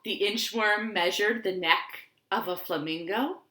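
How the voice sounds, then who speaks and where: A woman's voice: medium pitch, a little slow, bright, forceful tone. A woman hosting storytime for young children, speaking in simple, encouraging phrases as she leads rhymes and reads aloud. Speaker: children's storytime host at a library. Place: living room at home. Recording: roomy, echoing home room sound.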